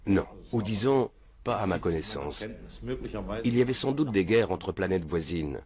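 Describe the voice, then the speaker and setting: A man's voice: low voice, expressive, normal speed, a crowd in the background. A man answering interview questions in a matter-of-fact, assured way.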